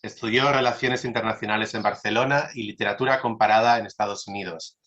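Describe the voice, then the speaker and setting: A man's voice: fairly low pitch, steady tone, fast talker, a bit bright. A man hosting an interview, reading a formal introduction with stumbles and corrections. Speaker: interviewer and host. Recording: dull lo-fi sound.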